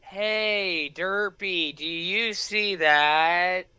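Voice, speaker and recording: Woman reaction host, casual muttered exclamations, unhurried, clear, forceful tone, dry recording. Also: lowish voice, dry recording.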